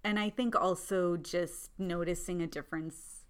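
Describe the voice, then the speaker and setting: Low-pitched thoughtful woman. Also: fairly even intonation, clear, resonant tone. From Southern California, born in India. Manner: earnest, thoughtful, often pauses with "like" and soft laughs. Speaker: podcast guest. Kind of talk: reflective personal storytelling in conversation.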